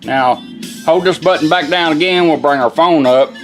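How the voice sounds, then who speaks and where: A man's voice: fairly high pitch, normal speed, a bit bright, faint music behind. A man giving a casual, plainspoken hands-on product demonstration in a folksy way, taking it step by step. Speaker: presenter demonstrating a vintage truck radio. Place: inside a pickup truck cab.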